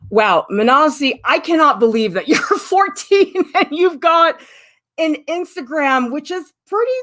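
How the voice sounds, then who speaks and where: A middle-aged woman art professor giving a candid, lively livestream critique and answering viewers' questions. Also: high-pitched, a little slow. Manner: candid, lively, emphatic, with frequent "I mean".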